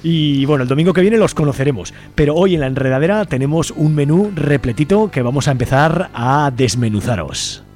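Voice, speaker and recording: A man's voice: fairly high pitch, animated, a little fast, a little mellow. A man hosting an informal community radio show, talking colloquially and directly to listeners and guests. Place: radio studio. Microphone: studio microphone. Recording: music playing behind the voice.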